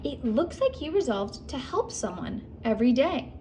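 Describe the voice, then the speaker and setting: A woman voicing a kindly rabbit who runs a diner and gently gives advice. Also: high voice, sing-song, normal speed, a little hiss or hum.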